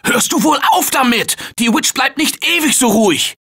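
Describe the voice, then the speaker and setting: A male actor playing a confident, energetic survivor in a German video game dub, shouting warnings and calling directions. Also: very high-pitched, normal speed, breathy edge, full character voice. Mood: urgent. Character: upbeat male office worker survivor, confident. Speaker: game character.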